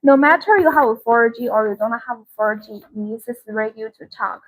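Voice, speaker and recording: Higher-pitched woman hosting a live sales demo. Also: rough recording. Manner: repetitive, explanatory, halting non-native English phrasing. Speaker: live-stream sales host. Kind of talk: informal live product demonstration and sales pitch.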